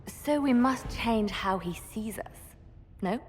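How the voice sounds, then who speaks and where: A woman's voice: a little high, expressive, slow, a little hiss or hum. An actress playing a composed, calculating female adviser at a war council in a fantasy video game. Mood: measured. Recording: long reverb of a large hall.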